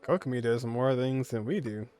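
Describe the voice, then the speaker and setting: Easygoing male player commentating with jokes, average pitch, soft game music under him. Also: moderate pace. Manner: casual, joking reactions with laughs. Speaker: gaming streamer. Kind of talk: casual commentary while playing.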